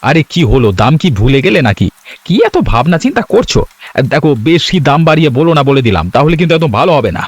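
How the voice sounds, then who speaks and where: A man acts out a pushy cartoon traitor who bargains hard and threatens. His voice is fast and bouncy, pitched a little high, and recorded dry. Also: big pitch swings, no room sound. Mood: impatient, threatening. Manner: haggling, bossy and threatening. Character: greedy, pushy male disciple turned traitor. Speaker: voice actor. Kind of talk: cartoon voice acting.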